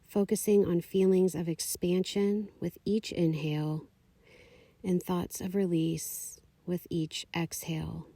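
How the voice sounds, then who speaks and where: A woman guides a meditation in a calm, soothing manner. Her voice sits slightly low and is captured very dry and close. She delivers each scripted instruction at a very slow, unhurried pace. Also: dry recording.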